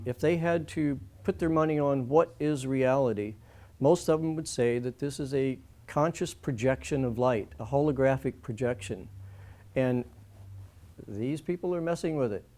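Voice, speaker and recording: A man with a soft, mellow tone and a mid-range pitch talks in an unscripted radio interview. He sounds earnest about what he says and leans on key points, repeating them for emphasis. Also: moderate pace. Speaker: alternative-media guest and writer.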